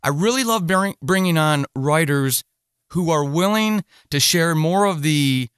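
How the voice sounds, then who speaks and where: A man's voice: fairly high pitch, lively intonation, measured pace, a bit bright, clear, resonant tone, no room sound, studio quality. A man hosting a conversational podcast interview in an enthusiastic, effusive manner.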